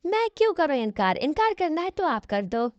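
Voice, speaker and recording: A woman's voice: high voice, very animated, normal speed, no echo. A woman voicing a bratty, stubborn college-age girl in a cartoon, whiny and argumentative. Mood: defiant. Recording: dull, lo-fi audio.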